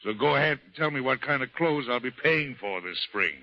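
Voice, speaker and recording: A man's voice: mid-range voice, animated, brisk. Genial old-time radio host, man. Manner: genial, joking, reads smoothly. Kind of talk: scripted radio hosting and interview. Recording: dull old radio broadcast recording.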